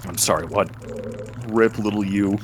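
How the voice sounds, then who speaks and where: A man's voice: lowish voice, normal speed, slight background noise. A man co-hosting a live stream, joking and teasing his friends in casual chat. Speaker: co-host on a stream.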